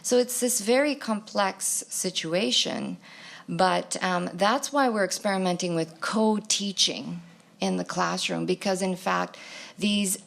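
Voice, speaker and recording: A woman's voice: mid-range voice, a little slow, vocal fry, a bit bright. A woman whose first language is Greek, answering a question on a symposium panel in a thoughtful way, searching for words and often ending on "right?". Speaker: academic panelist. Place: university lecture hall.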